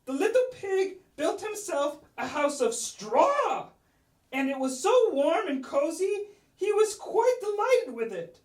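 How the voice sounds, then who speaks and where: A woman's voice: very high voice, sing-song, slow pace, darkish tone, roomy sound, far from the mic. A woman telling a children's story in a dramatic, playful way, drawing out key words.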